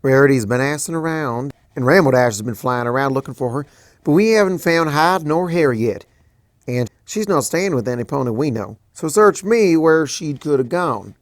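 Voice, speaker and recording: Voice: mid-range voice, expressive, moderate pace, dry recording, voice pushed up, natural voice. A fanfic reader voicing a blunt, plainspoken farm pony mare with a folksy, emphatic way of talking. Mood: firm.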